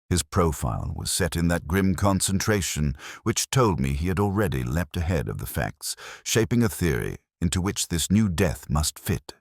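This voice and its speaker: Very deep-voiced man narrating a dramatic story. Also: no room sound, clean studio sound. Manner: measured, formal, literary delivery. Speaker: audiobook narrator.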